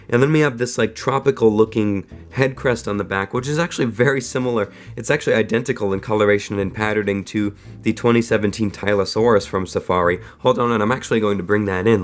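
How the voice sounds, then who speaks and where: A young man with a cold, hosting a casual, enthusiastic toy review video full of fillers and quick asides. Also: lowish voice. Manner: enthusiastic, chatty, frequent fillers. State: sick, voice affected. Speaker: toy reviewer, channel host.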